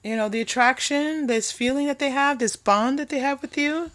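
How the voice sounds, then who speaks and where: A woman's voice: high voice, normal speed, a bit bright. A woman doing an unscripted tarot card reading, musing aloud and repeating phrases for emphasis as she addresses the viewer. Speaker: tarot reader.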